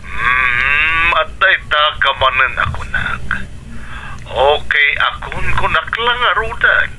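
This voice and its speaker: An actor playing a devoted middle-aged widower father in a radio drama, mixing English into his drawn-out talk and reluctant to love again. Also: a little high, expressive, sharp tone, slight background noise, heavily acted, poor audio. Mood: reluctant, protesting. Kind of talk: Ilocano radio drama acting.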